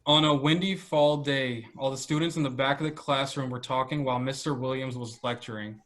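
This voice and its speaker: A man reads prepared narration as a presenter. His voice sits at an average pitch, with clear room echo around it. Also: fairly even intonation, fairly quick, fairly bright tone, slight background noise. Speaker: presenter and table read narrator. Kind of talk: scripted narration. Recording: roomy echo.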